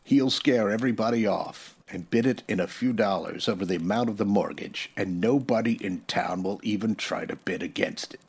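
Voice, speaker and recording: Radio western marshal male actor: American, low husky voice, folksy, unhurried authority with dry defiance. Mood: calm, determined. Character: steady, dryly defiant Old West marshal. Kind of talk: old-time radio western acting.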